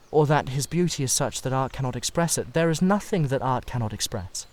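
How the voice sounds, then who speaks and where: He is an earnest, idolising artist, acted out by a man in a dramatised classic novel. His mid-range voice is fervent and confessional, halting as he searches for words. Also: mid-range voice, a little mellow, natural voice. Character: earnest, intense, idolising male painter. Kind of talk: dramatised literary audiobook reading.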